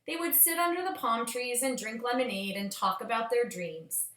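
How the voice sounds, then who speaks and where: A woman reading a children's storybook aloud as a storyteller, with expressive, measured phrasing. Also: a little high. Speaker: storyteller, teacher. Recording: echoey room.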